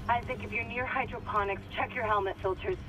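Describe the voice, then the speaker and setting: An actress playing an alert, practical crew technician in a video game, speaking over a radio link. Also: female voice, on the high side, steady tone, quick pace. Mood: concerned, focused. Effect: radio comms filter. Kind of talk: naturalistic video game acting. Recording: dull radio link, faint noise.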